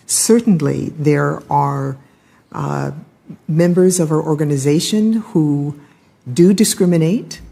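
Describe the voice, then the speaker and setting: Casting: older American female official on the witness stand, mellow, raspy, measured and firm. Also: sits low. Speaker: ambassador and chief diversity officer testifying as witness. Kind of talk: formal testimony. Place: congressional hearing room.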